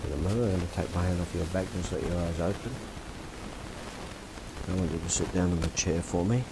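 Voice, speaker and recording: A soft-spoken, deep-voiced Australian man working as a hypnotist, calmly giving short, simple instructions and questions to a client. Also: fast, background noise. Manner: calm, directive, short simple phrases. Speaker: hypnotist practitioner.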